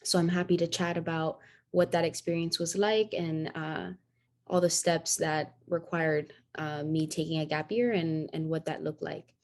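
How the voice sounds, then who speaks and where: A young woman from Miami speaking informally as a student panelist on a video call, halting often as she goes. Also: low voice, flat delivery. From Miami, Florida. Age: young adult. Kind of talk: informal self-introduction.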